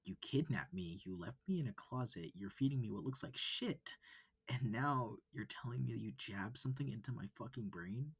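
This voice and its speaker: A man voicing a wary, confused human student in a visual novel, halting and skeptical. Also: medium pitch, expressive, vocal fry, husky, no room sound. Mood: annoyed, demanding. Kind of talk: visual novel character reading.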